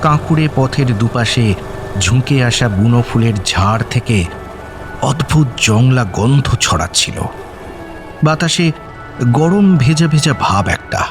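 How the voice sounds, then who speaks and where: A man tells a suspense story as a radio narrator. His mid-pitched voice moves with lively rises and falls, and music plays faintly underneath. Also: unhurried, long pauses. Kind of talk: dramatic scripted narration.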